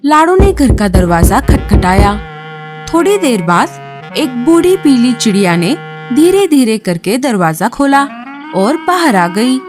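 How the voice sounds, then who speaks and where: A woman narrates a scripted children's spooky tale. Her voice is fairly high and very animated, delivered at a slow pace over faint music. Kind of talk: scripted children's horror storytelling.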